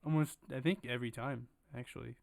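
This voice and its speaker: Deadpan young man trading teasing jabs. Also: mid-range voice, quick pace, vocal fry. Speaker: podcast co-host. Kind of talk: casual chat.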